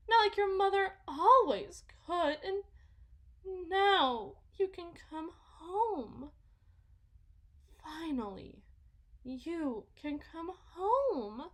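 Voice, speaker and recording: A woman playing a delusional, possessive mother in a dramatic ASMR roleplay, halting and repeating herself theatrically, shifting between hushed and intense. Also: sits high, full character voice. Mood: tender, possessive. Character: delusional, possessive mother smothering her supposed lost child.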